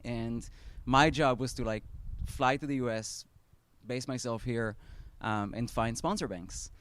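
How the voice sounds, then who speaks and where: A man with an Israeli accent, a startup founder speaking at a live event, earnest and measured with pauses and restarts. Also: medium pitch, fairly bright tone.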